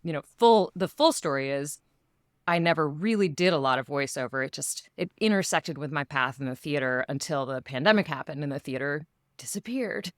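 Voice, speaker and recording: A woman's voice: low-pitched, fairly quick, no echo, studio quality. A woman voice actor talking casually in an interview, telling stories with quoted asides.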